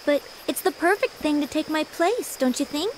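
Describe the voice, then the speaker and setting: A woman playing a gentle, selfless, gravely ill teenage girl in a video game cutscene, soft-spoken and earnest. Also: sits high, lively intonation, normal speed, a little hiss or hum, poor audio. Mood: calm, reassuring.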